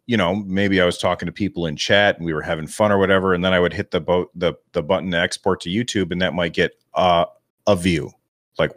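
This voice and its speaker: A man with a deep radio voice, rambling and self-deprecating as a guest on a podcast interview. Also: no room sound, clean studio sound. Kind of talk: relaxed interview talk.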